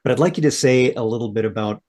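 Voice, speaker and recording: Male podcast host, mid-pitched, conversational. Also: fast, a little mellow. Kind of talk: podcast interview.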